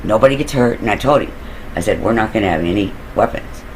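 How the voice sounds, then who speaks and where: A man with a low, deep voice and a rough, gravelly edge tells a story in a relaxed, wandering way. He drifts off on side tracks, trails off with fillers and lets a curse slip now and then. A faint hiss of noise sits under his voice. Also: fast, low-quality recording. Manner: loose and digressive, with fillers and occasional swearing. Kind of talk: casual rambling storytelling.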